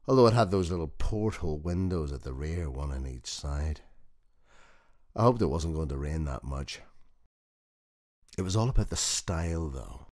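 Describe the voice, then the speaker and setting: Actor as very deep-voiced old widower mechanic, wistful. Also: male voice, expressive, moderate pace, pauses often, a little mellow. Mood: proud, nostalgic. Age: older. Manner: conversational, wistful storytelling. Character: old working-class widower mechanic, gentle, proud, nostalgic about his wife. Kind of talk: dramatic first-person audiobook monologue.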